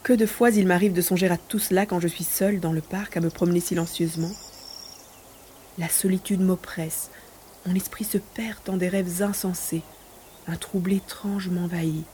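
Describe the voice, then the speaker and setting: A woman plays a dreamy, dramatic spinster stuck in the provinces. Her tone is mellow and mannered, full of romantic longing. It is audio drama dialogue with a slight noise behind it. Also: fairly low pitch, steady tone, normal speed, natural voice. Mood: dreamy, oppressed.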